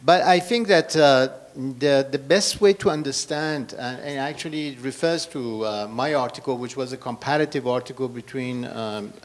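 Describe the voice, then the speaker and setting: A man's voice: medium pitch, moderate pace, hardly any pauses. A man from Iran speaking as a scholar on a panel, hesitant and full of fillers. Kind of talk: panel talk.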